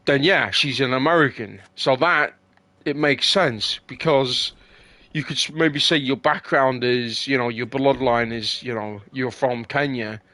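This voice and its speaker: A man's voice: medium pitch, measured pace, bright, forceful tone, no echo. Swearing, argumentative man from England. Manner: argumentative, swears, repeats words. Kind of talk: casual chat.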